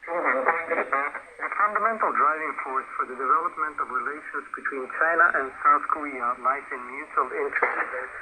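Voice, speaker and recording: A man's voice: medium pitch, normal speed. A man reading official statements as a scripted English voice-over on shortwave radio. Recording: very noisy shortwave radio broadcast. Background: loud radio static and noise.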